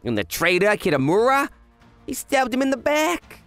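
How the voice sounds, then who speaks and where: A self-assured young yakuza played by a man chats through an anime explainer. The voice is high for a man and animated, cocky in temper, over faint music. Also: normal speed, no room sound, heavily acted. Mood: serious. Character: cocky, chatty young male yakuza, self-styled genius. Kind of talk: scripted anime explainer dub narration.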